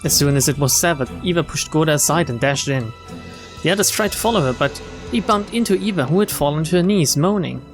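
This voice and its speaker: Man, somewhat high voice, game streamer, reads story text aloud, wry casual commentary, game music behind. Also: expressive, fast talker. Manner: wry, chuckling asides, casual swearing. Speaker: streamer playing a visual novel.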